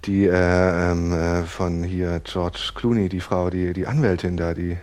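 An actor playing an exasperated husband who loves structure, arguing haltingly in a naturalistic radio drama about couples therapy. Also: male voice, sits low, level tone, a little mellow. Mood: hesitant. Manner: halting, argumentative, full of hesitations. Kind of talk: naturalistic improvised-sounding radio play dialogue.

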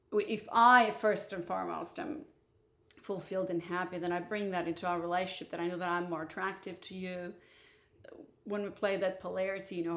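A woman's voice: on the low side, fairly bright tone. A woman from Moldova hosting a casual couple's chat, frank and opinionated about relationships. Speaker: podcast host.

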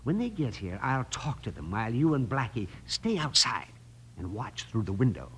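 An actor playing a greedy, scheming land baron with an oily, menacing manner in an old western radio drama. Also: male voice, mid-range voice, big pitch swings, moderate pace, fairly bright tone, slightly rough, heavily acted. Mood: gloating, scheming. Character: smug, scheming, greedy land-grabbing villain boss. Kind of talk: 1950s western radio acting.

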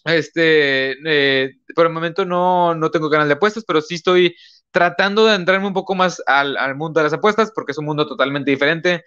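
A man's voice: on the high side, moderate pace, pushed and bright, smooth, highs cut off. A young man from Mexico, hosting a casual live-streamed podcast, rambling with many fillers and self-corrections. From northern Mexico. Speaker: podcast host.

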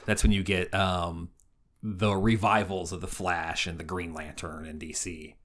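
A man with a low voice chats as a podcast co-host. His delivery is conversational and a bit halting, circling back over words as he forms a point. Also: level tone, fairly bright tone. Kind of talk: casual conversational discussion.

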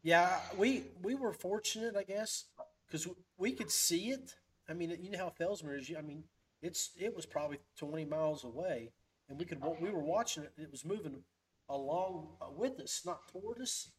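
Man, podcast host, somewhat high-pitched, casual chat, folksy, rambling storyteller. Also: moderate pace, low-quality recording.

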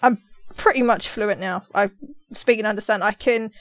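A woman's voice: medium pitch, normal speed, no echo. A woman hosting a podcast in casual conversation, chatty, teasing and full of questions. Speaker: podcast host.

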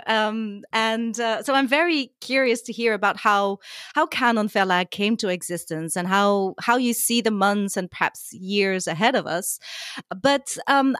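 A woman's voice: mid-range voice, no room sound, clean studio sound. Curious woman hosting a podcast interview. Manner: warm curious questions with many fillers. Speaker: podcast host.

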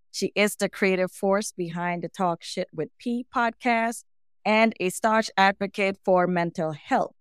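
A woman's voice: mid-range voice, clean tone, dry recording, studio quality. A woman speaking as a podcast host, introducing and interviewing in a prepared, presentational style.

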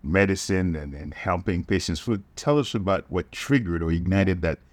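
A middle-aged man hosting an interview podcast, speaking in a formal, measured and deliberate way. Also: low voice, no room sound. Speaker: podcast host, medical school dean.

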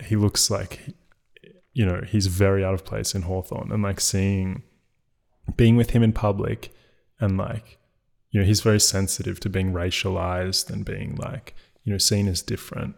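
Gravelly low-voiced man, casual podcast host. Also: moderate pace. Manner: relaxed, many filler "like"s, trailing off into quiet asides. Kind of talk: casual conversation.

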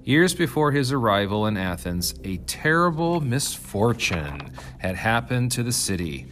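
A man's voice: mid-range voice, big pitch swings, unhurried, fairly bright tone, faint music behind. A man reading an ancient myth aloud as scripted storytelling narration.

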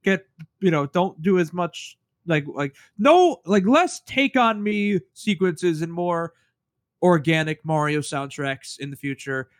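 A man with a stuffy nose chats on a podcast in a fairly high voice. He talks emphatically and swears now and then. His voice is recorded close and dry. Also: lively intonation, a little slow, dry recording. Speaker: podcast co-host. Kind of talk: casual chat.

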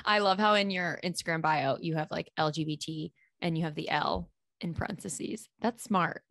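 A young woman hosting a casual podcast chat, bubbly and quick to laugh, with lots of "like" in her speech. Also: low-pitched, a bit bright, dry recording, clean studio sound. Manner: bubbly, laughs often, heavy use of "like". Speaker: podcast host.

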